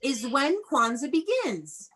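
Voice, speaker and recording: A woman's voice: high-pitched, very animated, slow pace, fairly bright tone, slightly rough. A woman teaching young children in an interactive online read-aloud, enunciating key words and prompting them to repeat, recorded over a video call. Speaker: elementary school teacher.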